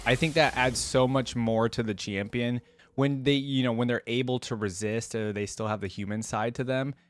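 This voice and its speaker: A man's voice: medium pitch, steady tone, moderate pace, no echo. A man hosting a reaction video, giving casual, enthusiastic commentary with rambling asides.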